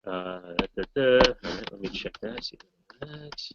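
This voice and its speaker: A voice actor from Massachusetts answering fan questions in a casual, rambling, self-deprecating way over a video call. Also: male voice, fairly low pitch, lively intonation, unhurried, muffled recording, very noisy. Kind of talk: casual Q&A chat.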